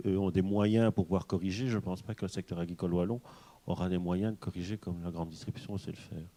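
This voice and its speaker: A man's voice: sits low, steady tone, quick pace, dark tone. A man speaking on a debate panel, earnest and stumbling now and then over his words.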